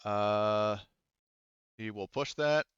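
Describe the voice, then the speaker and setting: A man's voice: fairly low pitch, drawn-out words, a bit bright, clean tone, no echo, lo-fi sound. A man acting as game master in a tabletop roleplaying game, talking informally and thinking aloud with casual swearing. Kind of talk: running an online tabletop roleplaying game, rules talk.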